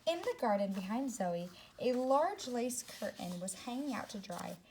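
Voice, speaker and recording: A woman teacher reading a story aloud to young children, speaking expressively and deliberately, with asides to her second-grade listeners. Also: fairly high pitch, big pitch swings, darkish tone, a little hiss or hum, poor audio.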